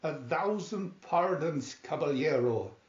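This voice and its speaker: A man's voice: fairly high pitch, animated, takes it slowly, echoey room, muffled recording. A man voicing a formal, condescending and sneering Spanish military commander in a storybook. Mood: mock courtesy. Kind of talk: storybook character reading.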